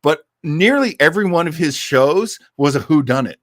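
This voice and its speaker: A man's voice: on the high side, expressive, moderate pace, a bit bright, dry recording, studio quality. An American man hosting a casual livestream, chatting to listeners in an enthusiastic, rambling, conversational way. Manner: enthusiastic, effusive about favourites. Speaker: host of an old-time radio listening livestream.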